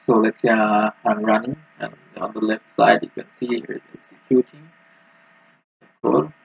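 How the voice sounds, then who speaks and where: Husky, halting man in a roomy recording. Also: lowish voice, flat delivery. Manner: halting, hesitant delivery. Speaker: student presenter. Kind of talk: technical screen-recording walkthrough. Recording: muffled, roomy lo-fi microphone.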